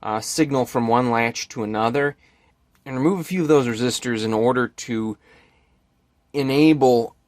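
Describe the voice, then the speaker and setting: A man's voice: medium pitch, moderate pace, darkish tone, no echo. Methodical man explaining tech, close dry sound. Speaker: hobbyist presenter demonstrating a device modification.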